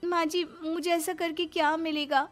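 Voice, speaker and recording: A woman's voice: very high-pitched, flat delivery, moderate pace, a bit bright. A woman voicing a caring, reasonable young daughter-in-law in a cartoon moral story, polite and explanatory. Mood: apologetic. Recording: music behind the voice.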